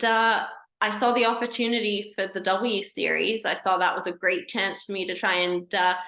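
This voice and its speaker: A young woman with a Canadian accent that carries a British edge, her voice bright and crisp. She answers questions in a friendly, chatty way, pausing on small "uh"s as she goes. The recording has a noticeably echoey room around her. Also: medium pitch. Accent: Canadian with a British tinge. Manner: friendly, chatty, with frequent "uh" restarts. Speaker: guest, racing driver turned engineer. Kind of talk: casual interview answers.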